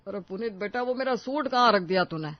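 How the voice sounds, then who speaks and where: A woman voicing a genial middle-aged father with drawn-out, placating delivery in a family story. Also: mid-range voice, lively intonation, no room sound, dull audio, natural voice. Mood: calling out. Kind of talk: dramatized family storybook dialogue.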